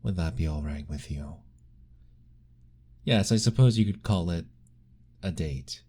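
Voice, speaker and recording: A man doing a deep, courtly vampire lord. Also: animated, unhurried. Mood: courtly, persuasive. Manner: formal, old-fashioned, gently pleading; soft, intimate, often whispered. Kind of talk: ASMR roleplay audio.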